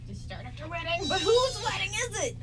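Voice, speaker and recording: A woman's voice: very high-pitched, very animated, brisk, crowd noise. A woman chatting casually as a radio show narrator, with a jokey, slangy way of talking. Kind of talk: casual playful chat.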